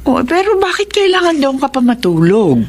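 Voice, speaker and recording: A woman playing a watchful, concerned middle-aged mother in a radio drama, gently questioning, with a Filipino accent. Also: sits high, very animated, normal speed. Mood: questioning, cautious.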